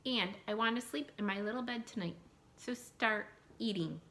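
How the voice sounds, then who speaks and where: A woman voicing a firm, fair old village witch in a folktale, speaking in a measured way. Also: fairly high pitch, pushed and bright. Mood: stern, calm.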